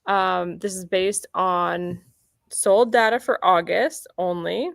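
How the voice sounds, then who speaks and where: A woman's voice: on the low side, fairly even intonation, slow pace, vocal fry, no echo, studio quality. A woman hosting a casual real estate chat, upbeat and chatty, correcting herself as she goes. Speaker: realtor and show host. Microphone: computer mic near a keyboard.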